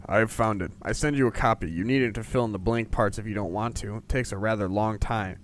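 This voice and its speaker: Man putting on a very low Father Christmas. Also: fairly quick, a little hiss or hum, lightly acted. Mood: measured, pleased. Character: old Father Christmas writing a letter.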